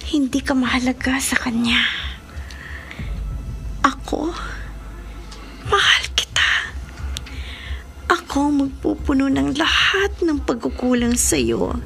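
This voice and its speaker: A woman playing a seductive otherworldly forest temptress in a horror radio drama, coaxing in a breathy voice that often sinks to a whisper. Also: sits high, slow, pauses often, bright, forceful tone, soft background music, heavily acted.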